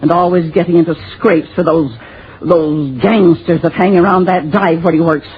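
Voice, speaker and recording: A woman's voice: on the low side, steady tone, moderate pace, lightly acted. An actress playing a bitter, greedy, spiteful woman in melodramatic 1950s radio drama style. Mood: indignant contempt. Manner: indignant, melodramatic. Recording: muffled, hissy old radio recording.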